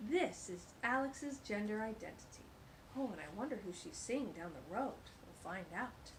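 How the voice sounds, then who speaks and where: A woman's voice: medium pitch, slight background noise, low-quality recording. A woman hosting a children's storytime, reading a picture book aloud with gushing, exclamatory asides.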